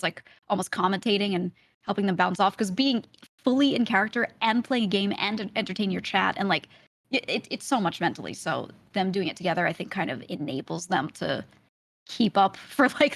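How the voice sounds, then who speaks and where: A woman's voice: medium pitch, a bit bright, dry recording. A young woman with a light Brooklyn accent, chatting casually as a podcast guest. She laughs often and fills her talk with "like" and "you know.". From Brooklyn, New York.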